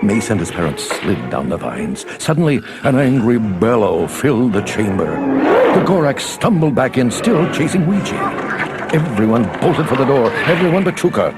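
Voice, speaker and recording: A man's voice: medium pitch, sing-song, normal speed. A man reading a scripted children's adventure story as a dramatic narrator, over music.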